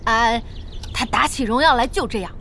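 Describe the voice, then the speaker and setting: An actress playing a friendly, brisk young woman boss with an expressive, chatty manner in an audio drama. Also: on the high side, a little hiss or hum. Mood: friendly, attentive. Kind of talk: Chinese audio drama acting.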